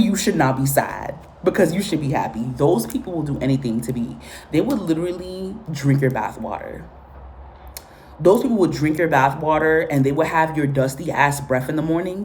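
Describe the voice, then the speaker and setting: A woman's voice: low-pitched, a little mellow, a little hiss or hum. A woman from Guatemala and Honduras, Spanish her first language, giving a casual spoken reading with blunt, profane, repetitive commentary. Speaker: tarot and spiritual reader.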